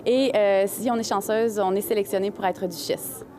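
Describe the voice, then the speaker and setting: A young woman from Quebec with a Quebec French accent, answering an interview clearly and poised as a carnival duchess in a shopping mall. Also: medium pitch, steady tone, moderate pace, a little mellow. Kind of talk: interview in public place. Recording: crowd noise in mall. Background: crowd chatter.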